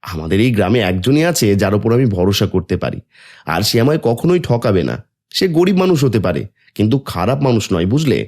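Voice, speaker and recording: A man plays a practical village farmer in a cartoon, talking fast with a lively, up-and-down voice. He is persuasive and sure of himself. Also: fairly low pitch.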